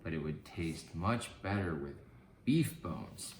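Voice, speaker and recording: A man's voice: low-pitched, expressive, takes it slowly, echoey room, slight background noise, exaggerated voice. A man voicing a hungry, crafty, confident young man in a storybook tale. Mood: confident, coaxing. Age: young adult.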